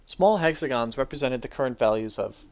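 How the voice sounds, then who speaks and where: A man's voice: mid-range voice, lively intonation, a little fast. A young adult man giving a dramatic reading of his own first-person story.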